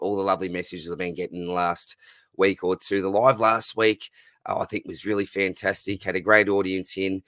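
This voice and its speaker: An Australian man hosts a live fantasy football stream in a husky, worn voice, greeting viewers by name and calling them mate and buddy. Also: fairly low pitch, a bit bright, no echo. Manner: friendly, matey, calls viewers mate and buddy. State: husky, voice going. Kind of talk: casual live stream chat reading viewer comments.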